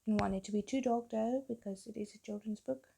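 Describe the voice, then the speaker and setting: Gravelly, mellow-voiced woman narrating her painting. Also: fairly high pitch, steady tone, fairly quick. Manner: rambling, chatty, self-deprecating. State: losing her voice, getting sick. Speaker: artist narrating a painting video. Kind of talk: casual voice-over narration. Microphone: phone.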